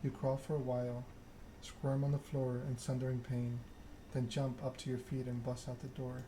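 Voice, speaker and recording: Man, ASMR game narration, flat even pitch, middle register, measured reading, clear background noise. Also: poor audio. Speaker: game streamer reading a game aloud.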